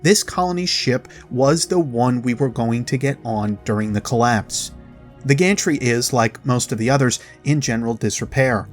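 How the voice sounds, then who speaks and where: Male video host, mid-range voice, essay-style commentary, dramatic pauses, music behind. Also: medium pitch. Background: background music.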